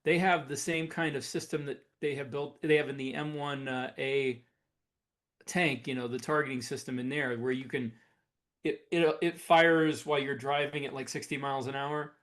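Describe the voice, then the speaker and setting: A man's voice: mid-range voice, fairly even intonation, normal speed, fairly bright tone. A man co-hosting a podcast in a casual, conversational style. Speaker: podcast host. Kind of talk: casual chat.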